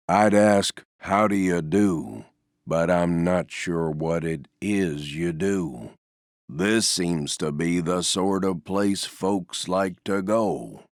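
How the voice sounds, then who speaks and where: A man voicing a gloomy, resigned, self-effacing stuffed donkey for a video game, with a mournful, deadpan, sighing delivery. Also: low-pitched, no echo, clean studio sound, full character voice. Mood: glum, deadpan. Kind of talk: video game character voice lines.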